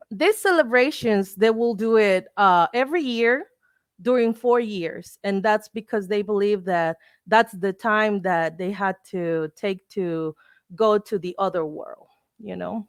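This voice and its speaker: A woman's voice: medium pitch, no room sound. Mexican woman presenting, dry close sound. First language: Spanish. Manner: explanatory, halting, searching for words. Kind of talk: informal educational talk with slides.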